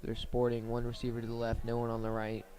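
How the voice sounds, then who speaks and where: A man doing live radio play-by-play of a football game, describing each play step by step in a measured way with pauses. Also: medium pitch, completely flat delivery, mellow. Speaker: play-by-play sports commentator.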